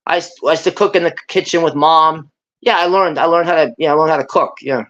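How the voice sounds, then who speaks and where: A man's voice: on the high side, fast talker, a bit bright. A chatty man from Brooklyn talks as a guest on a live stream. He repeats himself and scatters Italian words through his talk. The audio is dull and lo-fi. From Brooklyn, New York. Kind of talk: casual live-stream chat.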